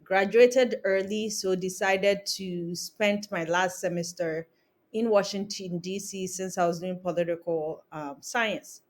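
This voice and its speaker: A woman in her thirties from Ghana, a guest on a remote podcast interview, telling her career story in a measured way with frequent ums. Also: medium pitch, steady tone. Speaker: guest, cosmetics founder. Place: home in Accra, remote call. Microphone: remote call recording.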